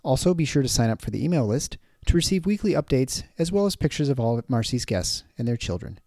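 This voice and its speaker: Mellow gravelly man reading an outro. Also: mid-range voice, big pitch swings, fast, no room sound, clean studio sound. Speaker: podcast announcer. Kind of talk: scripted outro read.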